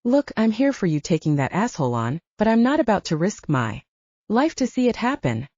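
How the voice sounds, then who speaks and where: Audiobook role: wry, snappy she-wolf beta read by a woman, mellow tone, dull lo-fi sound. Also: mid-range voice, sing-song, moderate pace, no echo, voice pushed up. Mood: snappy, urgent. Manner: snappy, wry, humorless joking. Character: blunt, wry red-haired female werewolf beta.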